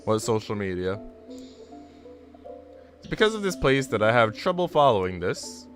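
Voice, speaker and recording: Male streamer, relaxed conversational chat with animated pitch, clear music bed behind. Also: moderate pace. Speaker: streamer reading a visual novel aloud to chat. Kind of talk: casual livestream chat.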